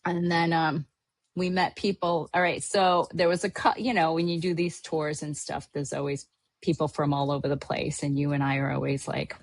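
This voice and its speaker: A woman's voice: low voice, level tone, normal speed, no room sound. A middle-aged woman from Hawaii, chatting casually as a podcast host, rambling with lots of fillers and restarts. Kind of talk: casual chat recapping a trip.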